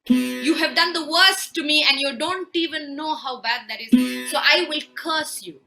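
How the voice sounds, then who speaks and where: A woman voicing a stern, imperious sage husband who gives slow, deliberate orders and flies into shouting rage. Soft guitar plays behind her. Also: high-pitched, expressive, creaky, full character voice. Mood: furious.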